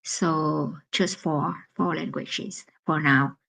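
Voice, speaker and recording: A woman with a Vietnamese accent, a native Vietnamese speaker hosting a casual solo live stream in English, halting and searching for words with many pauses. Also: sits low, fairly even intonation, vocal fry, fairly bright tone, a little hiss or hum, low-quality recording.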